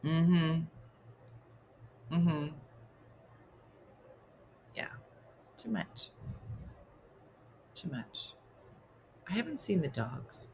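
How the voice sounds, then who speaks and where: A woman hosting a casual comedic morning show, chatting in an animated way with quick, exclaiming asides. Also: sits low, slight background noise, poor audio.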